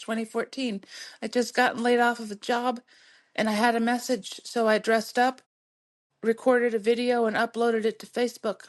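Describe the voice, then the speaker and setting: Woman, middle-aged, podcast host, soothing, encouraging, laughs often, a bit high-pitched. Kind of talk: motivational solo podcast talk.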